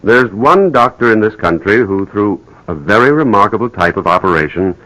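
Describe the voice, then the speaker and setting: An actor playing a grave, courteous physician in a 1940s radio drama, speaking in a measured, careful and slightly hesitant manner. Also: male voice, fairly low pitch, lively intonation, pushed and bright, poor audio. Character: grave, courteous male physician breaking bad news. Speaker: doctor. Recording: old radio drama broadcast.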